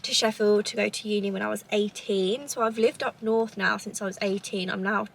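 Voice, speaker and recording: A woman's voice: medium pitch, steady tone, brisk, vocal fry, a little hiss or hum, rough recording. A woman in her twenties with a southern English accent, chatting casually to camera at home in a rambling way. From Cambridgeshire, England. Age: 20s. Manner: chatty, rambling, lots of "like" and "obviously". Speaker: vlogger. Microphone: camera in a holder.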